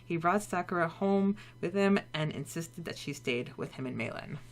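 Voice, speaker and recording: Low-pitched woman hosting a podcast. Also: animated, normal speed. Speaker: podcast co-host. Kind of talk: scripted chapter recap between casual chat.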